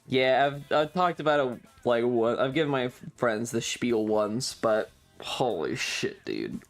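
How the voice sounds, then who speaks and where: A man's voice: medium pitch, lively intonation, unhurried, soft background music. A man chatting casually as a streamer, telling stories in an exasperated, rambling, sweary rant. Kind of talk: casual stream chat, ranting storytelling.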